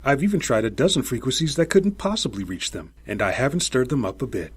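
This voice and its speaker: An anxious radio operator aboard a space station, read by a male narrator. His husky delivery is frustrated and insistent, with lively pitch, in the manner of a science fiction audiobook. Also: fast talker. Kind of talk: audiobook character voice.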